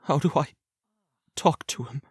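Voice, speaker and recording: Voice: medium pitch, unhurried, darkish tone, husky, dry recording, studio quality. A performer voicing a grieving, earnest schoolboy forcing out his words in an anime fan dub. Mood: pleading. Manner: strained and pleading.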